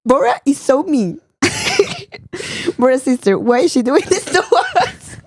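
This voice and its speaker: A woman chatting casually as co-host, laughing often and protesting playfully, her voice going hoarse. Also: sits high, wildly swooping pitch, slow, slight background noise. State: losing her voice. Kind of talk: casual chat.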